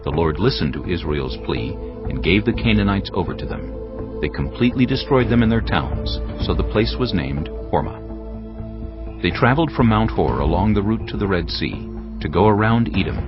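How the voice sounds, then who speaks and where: Male audio Bible narrator: very low, expressive inflection, solemn storytelling, slightly dull sound. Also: moderate pace, music in the background.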